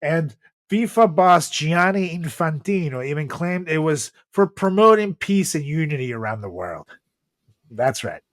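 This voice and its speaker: A man's voice: a little high, lively intonation, measured pace, no echo. A man hosting a comedy podcast with casual, profane banter and theatrical announcer flourishes. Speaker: podcast host.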